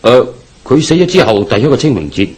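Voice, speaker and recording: A man's voice: medium pitch, very animated, fast talker, slightly rough. A man with a Hong Kong Cantonese accent playing an anxious, deferential businessman haunted by a ghost in a radio drama, hesitant and pleading in delivery. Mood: agitated.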